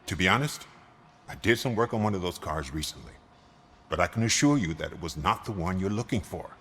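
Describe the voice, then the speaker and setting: Fast, deep-voiced slick mechanic. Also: male voice, expressive, fairly bright tone, in a large hall, a little hiss or hum. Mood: wry, evasive. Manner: glib, joking. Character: wry, slick, evasive garage mechanic.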